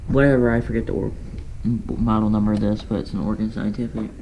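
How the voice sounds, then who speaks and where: A man gives an offhand, casual show-and-tell in a room at home. He rambles along informally from item to item. The amateur recording has clear background noise and small clicks. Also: on the low side, flat delivery, quick pace, mellow, rough recording. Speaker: radio collector showing his collection. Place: indoors, room at home with radios.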